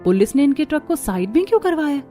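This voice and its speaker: A woman's voice: high-pitched, sing-song, fast talker, mellow, faint music behind, pitched above the performer's own voice. A woman voicing a poor, proud and determined young daughter-in-law in a cartoon story, speaking earnestly and respectfully. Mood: surprised, curious.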